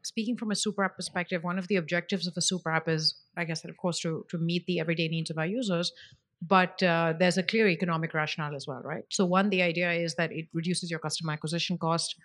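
A woman's voice: lowish voice, fairly even intonation, fast, fairly bright tone. A woman speaking as a business panelist, explaining things in a structured, point-by-point way. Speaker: panelist, app company executive.

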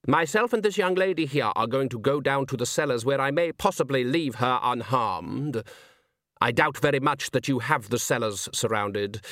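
A man's voice: medium pitch, a bit bright, no room sound, clean studio sound, natural voice. A man voicing a suave, sardonic stage villain in an audiobook, mock-polite and grandiose in his delivery. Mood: smug. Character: suave, sardonic, theatrical male villain with a sword.

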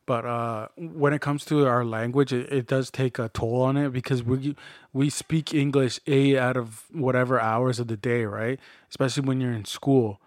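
A man's voice: medium pitch, moderate pace, dry recording. A young man from Canada chats loosely on a podcast, joking between filler words. His voice sits in a middle register. It is recorded close and dry, with no room sound. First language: Spanish. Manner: relaxed, joking, many fillers. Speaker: podcast co-host. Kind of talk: casual chat.

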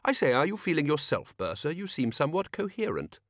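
A man's voice: wildly swooping pitch, brisk, no echo, clean studio sound. A man doing a pompous blustering old head wizard. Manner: pompous, exclaiming, high-pitched bluster. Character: blustering older male head wizard, loud and brisk.

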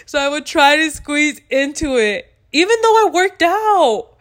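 A woman in her twenties from the United States, hosting a podcast in loud, blunt casual chat and swearing freely. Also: high-pitched, big pitch swings, a little slow. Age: 20s. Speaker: podcast host.

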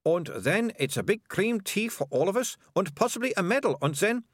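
A man reading aloud and voicing a bossy, excitable young girl who talks in a brisk, self-assured way. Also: sits high, dry recording, studio quality, pitched above the performer's own voice.

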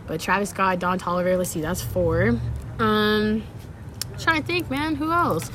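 A woman's voice: mid-range voice, unhurried, fairly bright tone, slight background noise. A young woman DJ from California, chatting casually in an interview with slangy, laid-back talk and easy laughter. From Sacramento, California. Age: young adult. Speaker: DJ guest.

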